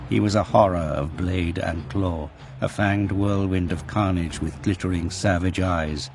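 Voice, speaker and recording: A man's voice: low-pitched, a little mellow. A man narrating an audio drama in a dramatic, scripted storytelling style. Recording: clear background noise and ambience.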